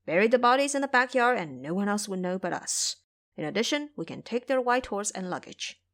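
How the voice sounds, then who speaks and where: A woman's voice: medium pitch, big pitch swings, a little fast, a bit bright. A woman voicing a sly, ruthless young male monk, confident and scheming, in an audio drama. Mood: scheming, eager.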